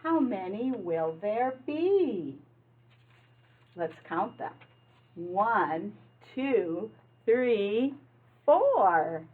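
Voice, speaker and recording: Woman, children's read-aloud, unhurried pace, simple teacherly phrasing, questions to little listeners, thin lo-fi recording. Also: mid-range voice, big pitch swings, husky. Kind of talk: children's picture-book read-aloud with asides to young listeners.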